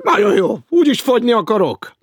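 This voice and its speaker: Man voicing a loud, indignant idler. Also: on the high side, no room sound, clean studio sound, pitched above the performer's own voice, lightly acted. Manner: loud and protesting. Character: indignant lazy man refusing work.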